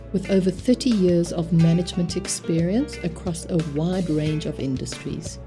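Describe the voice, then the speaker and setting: A woman hosting a podcast in a studio, reading a polished, welcoming introduction and then asking the guest questions. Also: fairly low pitch. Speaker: podcast host. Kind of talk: scripted introduction and interview. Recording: music bed under the voice.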